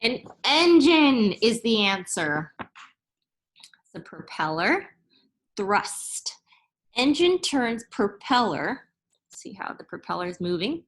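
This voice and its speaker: A woman reading a children's science picture book aloud like a teacher, in a deliberate, instructive way that makes each term clear. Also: on the high side, very animated, rough recording. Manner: deliberate, instructive, spelling out and explaining terms.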